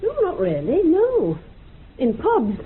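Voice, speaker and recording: A woman's voice: sits high, huge pitch swings, slow pace, heavily acted. Chatty woman, swooping intonation. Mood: amused, nostalgic. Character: chatty, evasive, nostalgic woman. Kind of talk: radio drama acting. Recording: tinny narrow-band radio sound, faint noise.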